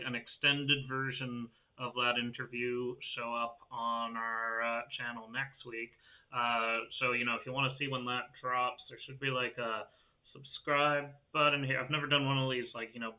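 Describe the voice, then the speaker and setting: A man hosting informally in a casual, appreciative way over a video call. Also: mid-range voice. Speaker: show host, music technology facilitator. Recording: thin phone-like sound.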